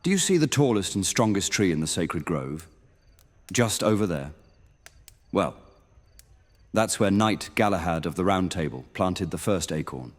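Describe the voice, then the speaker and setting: A man's voice: low voice, sing-song, measured pace, long pauses, heavily acted. A man playing a stern veteran monster hunter knight in a fantasy audio roleplay, speaking formally and solemnly. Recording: long hall reverb under music.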